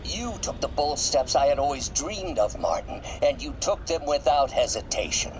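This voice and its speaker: A man voicing a solemn, grandiose older scientist supervillain, delivering a theatrical recorded monologue with breathy pauses in a game. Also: on the high side, animated, background noise, lightly acted. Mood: reverent, menacing.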